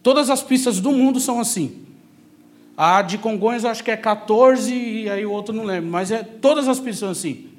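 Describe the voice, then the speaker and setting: Guy from são paulo preaching, really high voice, chatty but emphatic, tells testimonies and repeats key lines. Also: a little fast. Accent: Brazilian, São Paulo. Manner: conversational, emphatic, repeats key phrases for effect. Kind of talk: sermon with personal testimony.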